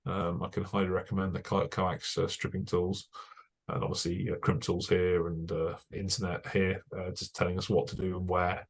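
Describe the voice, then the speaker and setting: A young British man with a fairly low voice talks a club audience through his engineering work. He is chatty and technical, full of "uh" fillers, and drops dry jokes along the way. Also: a little mellow. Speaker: broadcast engineer giving a club talk. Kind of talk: casual presentation over slides.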